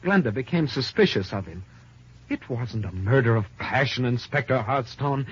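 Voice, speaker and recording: Young accountant in old radio crime drama, dramatic, formal, intense, dull lo-fi sound. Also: male voice, medium pitch, animated, moderate pace, breathy edge. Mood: insistent. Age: young adult. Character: anguished, earnest young male accountant. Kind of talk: old-time radio detective drama acting.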